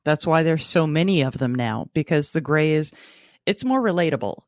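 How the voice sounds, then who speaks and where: A woman's voice: sits low, expressive, normal speed, no echo, clean studio sound. A woman co-hosting a podcast, talking through her thoughts in a reflective, explanatory way. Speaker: podcast co-host. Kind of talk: casual book club discussion.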